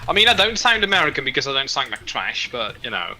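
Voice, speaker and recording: A man's voice: mid-range voice, very animated, quick pace, sharp tone. A young Dutch man, a native Dutch speaker, joking bluntly in a casual voice chat. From Netherlands. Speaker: guest in voice chat. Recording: noisy background.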